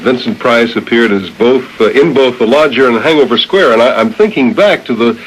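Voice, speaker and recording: A man's voice: mid-range voice, animated, a little fast, slightly rough, low-quality recording. A man hosting a conversational radio interview, heard on a 1970s recording.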